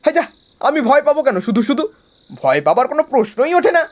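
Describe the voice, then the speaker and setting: A brash young man in an animated horror story, played by an actor. He talks in a bossy, mocking way, like the scolding leader of a group of friends. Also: high-pitched, fast talker. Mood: uneasy. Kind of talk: animated horror cartoon dialogue.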